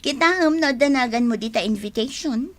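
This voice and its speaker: A woman's voice: a little high, lively intonation, brisk, natural voice. A woman acting as a proud, blunt bride-to-be in an Ilocano radio drama, showy and emphatic, with an Ilocano Filipino accent. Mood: awkward, apologetic. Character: proud young bride-to-be, blunt and breezy with friend.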